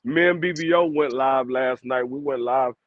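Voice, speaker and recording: A man's voice: mid-range voice, normal speed, muffled recording. A man, co-hosting a podcast and chatting casually, joking and insisting, laughing often. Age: 40s. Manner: joking, emphatic, laughs a lot. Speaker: podcast co-host. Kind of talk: casual chat.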